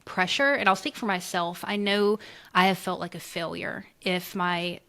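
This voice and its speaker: A woman's voice: lowish voice, steady tone, normal speed, pushed and bright. A woman hosting a casual interview over a video call, speaking in an effusive, emphatic, slangy way. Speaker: podcast host interviewing a guest.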